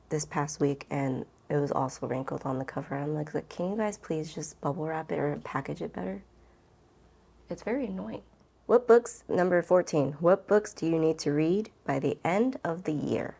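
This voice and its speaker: A woman's voice: on the low side, vocal fry, darkish tone. A woman book vlogger chatting casually and rambling to camera, with an informal, gushing and emphatic way of talking.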